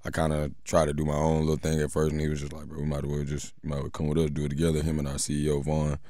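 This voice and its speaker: A young man from Atlanta, a football coach, talking about himself as an interview guest in a quick, relaxed way full of fillers. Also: extremely low pitch, dry recording, clean studio sound. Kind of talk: casual interview talk.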